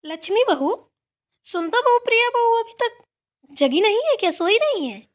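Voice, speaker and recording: A woman acts out a village matriarch in a family cartoon, an older mother-in-law with a high voice. She is chatty and bossy, rambling on homely matters as she gives instructions. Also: big pitch swings, moderate pace. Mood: bossy, scolding. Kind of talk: amateur Hindi family cartoon dialogue.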